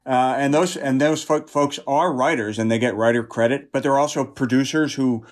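A man giving conversational interview answers as a guild representative, haltingly repeating words as he explains. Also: medium pitch.

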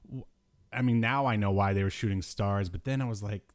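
A man's voice: on the low side, brisk. A man hosting a podcast in casual chat, riffing jokily and with exasperation. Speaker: podcast host. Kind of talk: casual chat about old cartoons.

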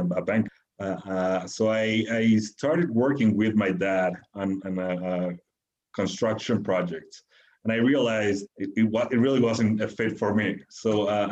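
A man's voice: fairly low pitch, darkish tone, highs cut off. A man in his thirties from Mexico, answering interview questions casually with many fillers and restarts. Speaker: guest, venture capital founder.

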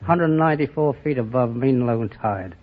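An American man answering interview questions as a contestant, earnest, matter-of-fact and a little halting. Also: mid-range voice. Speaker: quiz show contestant, lighthouse keeper. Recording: muffled old-time radio, faint hiss.

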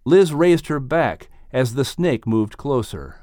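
Slow, measured male storybook narrator. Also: medium pitch, lively intonation, a little mellow, no echo, clean studio sound. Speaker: audiobook narrator. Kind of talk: scripted dramatic storytelling narration.

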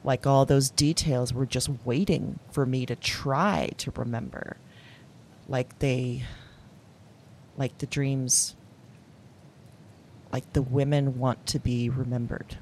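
An actress playing a lonely, awkward office worker, confiding haltingly and candidly in an audio diary drama. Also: female voice, extremely low pitch, steady tone, a little mellow, a little hiss or hum, exaggerated voice. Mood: unsettled, intrigued. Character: lonely, awkward woman office worker keeping a dream journal.

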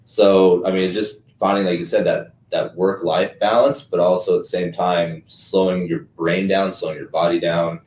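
A man's voice: deep voice, flat delivery, moderate pace, few pauses, darkish tone. A man coaching and hosting a casual podcast chat, talking in a loose, filler-heavy way. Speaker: strength coach and host. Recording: echoey room, mic at a distance.

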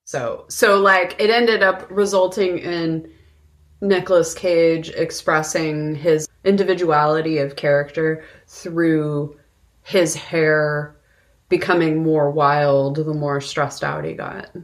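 Lower-voiced woman podcaster, casual and conversational. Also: steady tone, slow. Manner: informal, conversational. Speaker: podcast co-host. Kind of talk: casual film discussion.

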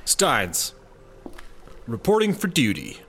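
An anxious, dutiful male newcomer at work. He is hesitant and corrects himself, with lively pitch movement and faint ambience behind his comedy-drama delivery. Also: takes it slowly, heavily acted. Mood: nervous, dutiful. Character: anxious, dutiful male new employee, hesitant rule-follower. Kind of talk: comedy audio drama acting. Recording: faint background ambience noise.

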